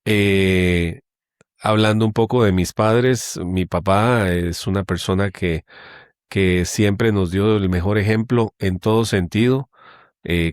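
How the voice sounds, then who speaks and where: A middle-aged man from Guatemala, a guest telling his story in a relaxed interview, pausing often with hesitations. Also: fairly low pitch, smooth, no echo, studio quality. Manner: measured, with many hesitations. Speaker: guest, company general manager. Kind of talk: conversational interview.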